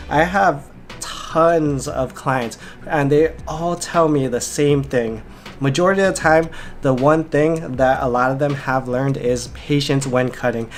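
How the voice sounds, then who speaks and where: A man's voice: mid-range voice, a little hiss or hum. A young man vlogging about dieting and workouts in a casual, rambling way, slightly congested and nasal from a cold, with lots of "like" fillers. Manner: rambling, filler-heavy with frequent "like". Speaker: fitness vlogger and coach. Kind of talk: casual vlog chat.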